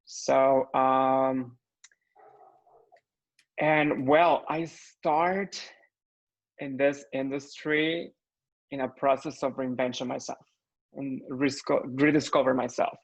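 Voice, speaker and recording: A young man from Mexico with a Mexican accent, speaking English as a second language in an earnest interview chat, often pausing with fillers to find his words. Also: medium pitch, fairly even intonation, vocal fry, dull audio. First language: Spanish. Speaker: floral designer guest.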